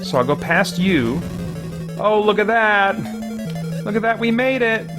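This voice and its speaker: A man's voice: high-pitched, animated, unhurried, music in the background. A man who hosts a gaming and faith channel, talking in a casual commentary style as if thinking aloud to himself. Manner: talks to himself while playing. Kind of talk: casual gameplay commentary and devotional talk.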